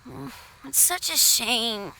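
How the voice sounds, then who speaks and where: A woman voicing a sweet, excitable little boy in a video game cutscene, with noise in the background. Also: on the high side, slow, creaky, exaggerated voice, rough recording. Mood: grateful, wistful.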